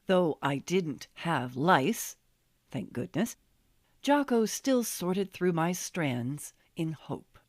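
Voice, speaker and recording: An American woman reading her memoir as an audiobook, telling it in a wry, expressive storytelling manner. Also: lowish voice, no room sound, studio quality. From Los Angeles, USA. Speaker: author reading own memoir.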